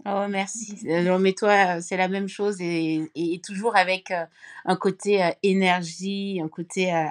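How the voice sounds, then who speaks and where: A middle-aged woman from Cameroon with a fairly low voice talks as an interview guest. She feels her way through each answer, stopping and restarting often with plenty of fillers. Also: steady tone, unhurried. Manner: hesitant, many fillers and restarts, warm laughs. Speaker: podcast guest, foundation founder. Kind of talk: conversational interview.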